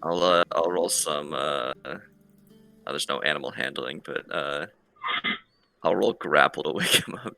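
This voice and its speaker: Male tabletop player, deep voice with heavy fry and a hard bright edge, casual delivery. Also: unhurried, dry recording, soft background music. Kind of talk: casual in-game discussion.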